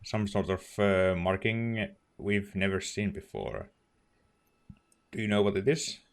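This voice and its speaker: Slow, low-voiced man doing gameplay commentary. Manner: brief murmured reactions, musing aloud. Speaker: let's play host. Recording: faint game music behind.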